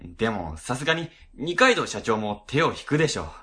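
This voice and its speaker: An actor voices a worried section chief in a radio drama. The delivery is conciliatory and hesitant, with lively swings in pitch. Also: moderate pace. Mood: resigned. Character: anxious, placating government section chief. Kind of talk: web radio drama acting.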